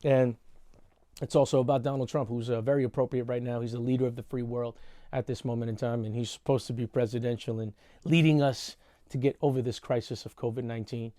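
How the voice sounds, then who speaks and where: Laid-back man vlogging, warm voice. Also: medium pitch, a little fast. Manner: relaxed, conversational, says "y'all" often. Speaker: podcast host and referee. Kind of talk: casual vlog monologue.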